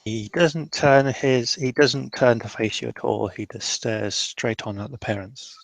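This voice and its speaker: Man, tabletop player, creaky voice, somber improvised narration, halting, dry close sound. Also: medium pitch, steady tone, no echo, poor audio. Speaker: roleplaying game player.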